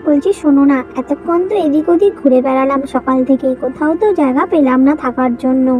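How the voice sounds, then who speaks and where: Woman voicing a young village wife in a cartoon folk tale, really high voice, practical, nags a bit, music behind. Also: fairly even intonation, fast talker, a little mellow, natural voice, rough recording. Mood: homely, urging. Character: young village bride, practical, wary of her in-laws. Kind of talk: animated moral story voice acting.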